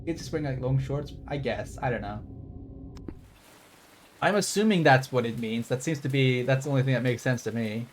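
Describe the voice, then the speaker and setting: A man's voice: mid-range voice, normal speed, slight background noise. A man streaming a game with casual, rambling commentary, wry and casually profane. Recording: stream with game music behind.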